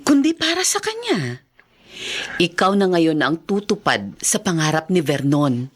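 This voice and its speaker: An actress playing a caring teacher in a podcast drama, earnest and encouraging. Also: female voice, on the low side, sing-song, a little slow, husky, heavily acted. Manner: earnest, mixes English into Tagalog. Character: encouraging, caring woman teacher urging her student on. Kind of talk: podcast radio drama acting.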